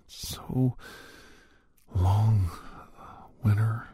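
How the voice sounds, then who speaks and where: A middle-aged man hosting a whispered ASMR roleplay, chatting softly and gently teasing the listener as a caregiver. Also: lowish voice, extremely slow, slightly rough, no room sound, clean studio sound. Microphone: close microphone.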